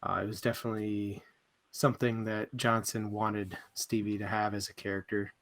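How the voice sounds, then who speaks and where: A man's voice: on the low side, little pitch movement, moderate pace, a bit bright. Conversational man co-hosting a casual discussion. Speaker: book club co-host leading topics. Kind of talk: casual book discussion chat.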